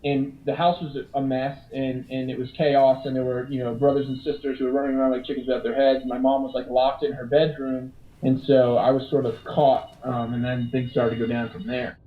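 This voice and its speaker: A man's voice: medium pitch, level tone, fairly quick, distant mic. A man, the older brother, recalls his family reflectively in a documentary interview. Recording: tinny phone-like sound from afar.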